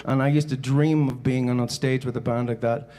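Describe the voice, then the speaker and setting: A man's voice: medium pitch, fairly even intonation, brisk, dark tone. A man with a Northern Irish accent from around Belfast, speaking informally and conversationally as he tells personal stories in a live keynote talk. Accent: Northern Irish, Belfast area. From Northern Ireland. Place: on stage before a live audience.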